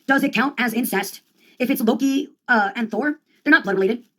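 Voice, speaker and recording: A young woman comedian giving a rambling monologue in a deadpan, ironic, slangy way. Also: on the high side, normal speed.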